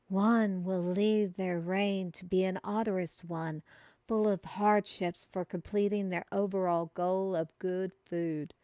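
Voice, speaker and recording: Woman acting a slow, solemn fantasy messenger. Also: medium pitch, a little mellow, dry recording, studio quality, lightly acted. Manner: solemn, theatrical, deliberate. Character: earnest, easily offended fantasy messenger woman reading a prophecy. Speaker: voice actor in solo audio roleplay. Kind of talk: theatrical one-sided audio roleplay for a listener.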